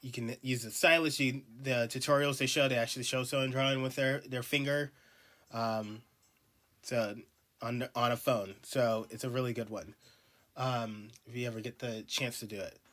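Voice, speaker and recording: A man's voice: mid-range voice, steady tone, moderate pace, a bit bright. A man talking casually while he draws, congested from allergies, rambling with many ums and uhs. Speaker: artist streaming a drawing session. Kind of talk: casual talk while drawing.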